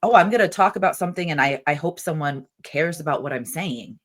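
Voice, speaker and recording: A woman hosts a podcast with a notably low voice. She talks in a chatty, enthusiastic way, her sentences full of fillers and restarts. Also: expressive, a little fast. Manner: chatty, enthusiastic, uses "like" a lot. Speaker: podcast host. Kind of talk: casual interview chat.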